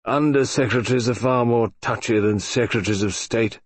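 A sleepy, gloomy old colonel with a wheezing, drawn-out way of speaking, as a man puts him on. He sounds heavy and unhurried, in a dry studio read for an audiobook. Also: mid-range voice, animated, dry recording, voice pushed up. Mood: drawling. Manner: slow, drawling, wheezy. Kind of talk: audiobook character voice.

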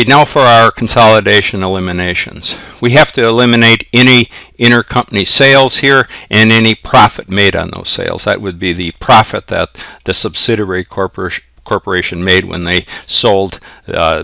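Male instructor, very low gravelly voice with a bright edge, step-by-step explainer, informal delivery. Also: dry recording. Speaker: accounting instructor. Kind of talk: informal tutorial narration explaining worked examples step by step. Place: close indoor voice-over for a screen recording.

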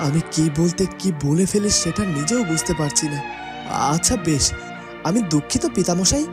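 A man playing a polite but wary young prince in a fairy-tale cartoon, earnest and expressive. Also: fairly high pitch, moderate pace, over music, lightly acted. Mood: apologetic.

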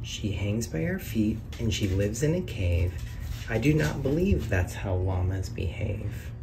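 A man's voice: fairly low pitch, very animated, measured pace, creaky, recorded from a distance, over music, low-quality recording. A man reading a children's picture book aloud in a sing-song way, lifting his voice on each question and reveal. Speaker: read-aloud narrator. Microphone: camera over the book, hands on pages.

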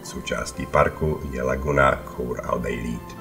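A man's voice: very deep voice, flat delivery, creaky, over music. A man narrating a travel vlog from a prepared script, as a voice-over.

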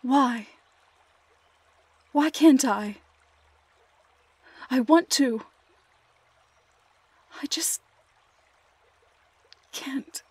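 A woman acts out a heartbroken young woman lost in a storybook forest. Her high voice is slow and full of breath, often sinking toward a whisper. The delivery is halting and emotional, in the manner of an audio roleplay. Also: a little mellow, breathy edge, dry recording, full character voice. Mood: anguished, then tearful, subdued.

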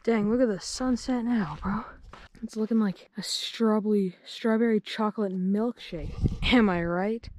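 A teenage boy vlogging casually on a mountaintop, excitable and full of slang, filmed on a handheld action camera. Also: high voice, measured pace. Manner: excitable, slangy, exclaims often. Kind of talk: casual outdoor vlog. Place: outdoors on a windy mountaintop. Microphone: action camera held at arm's length. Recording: noisy outdoor camera audio.

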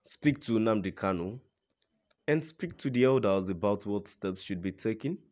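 A man's voice: fairly low pitch, expressive, normal speed, darkish tone. A man narrating a scripted news report in a formal read-aloud manner.

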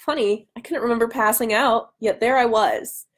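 A woman's voice: fairly high pitch, moderate pace. Woman reading aloud, nasal from a cold. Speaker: author hosting a live reading. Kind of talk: live book reading with casual chat. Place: small room at home, indoors. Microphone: webcam at arm's length.

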